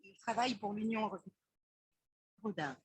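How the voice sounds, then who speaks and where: An actress playing a polite, chatty woman traveler in a recorded textbook dialogue, with a breathy voice. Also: mid-range voice, brisk, dry recording. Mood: friendly.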